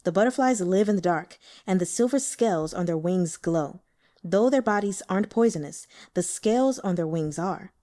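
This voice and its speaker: A woman voicing a shrewd, dry, sickly male investigator in an audiobook, explaining things in a measured, matter-of-fact way. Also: on the low side, expressive, warm tone, lightly acted. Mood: cautioning, matter-of-fact. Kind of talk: audiobook character dialogue.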